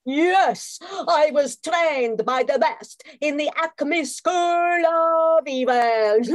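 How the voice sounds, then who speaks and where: Woman acting a high-voiced, slinky space villainess. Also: lively intonation, drawn-out words, no echo, heavily acted. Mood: gloating, menacing. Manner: theatrical, menacing. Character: scheming slinky female space villainess with weapons arsenal. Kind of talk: amateur radio play acting, old-time radio parody.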